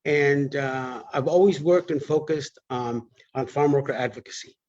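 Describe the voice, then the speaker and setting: A man's voice: medium pitch, moderate pace, darkish tone, muffled recording. An older man from the United States, a community organizer introducing himself unscripted on a panel.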